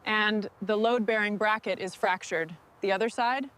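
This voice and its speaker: A woman leading a restoration crew, giving brisk, clipped instructions. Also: medium pitch, steady tone, a bit bright, clear, resonant tone. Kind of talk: scripted work commands.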